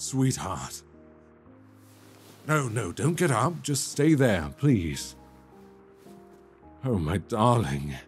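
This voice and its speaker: A man playing a guilt-stricken, tender young prince in a romantic audio roleplay, halting, earnest and given to sighs. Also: mid-range voice, very animated, faint music behind. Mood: tender, guilty.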